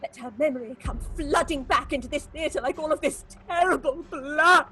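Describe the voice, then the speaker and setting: A woman's voice: sits high, moderate pace, bright, forceful tone, faint music behind. A woman playing a guilt-crazed, jealous actress in a theatrical horror drama, ranting melodramatically as she confesses. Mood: manic, bitter.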